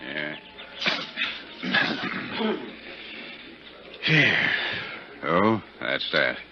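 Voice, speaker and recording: Very deep, folksy small-town sheriff. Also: male voice, wildly swooping pitch, very noisy, heavily acted. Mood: dry, knowing. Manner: slow, folksy, fatherly drawling talk with rustic grammar. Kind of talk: 1950s radio drama acting. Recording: dull 1950s radio recording.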